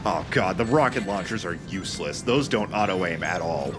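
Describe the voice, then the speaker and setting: A man commentates casually on a video game with a lively, swinging pitch. His joking is wry and mock-dramatic. Game music plays clearly behind his voice. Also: moderate pace. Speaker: let's play commentator. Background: loud video game music.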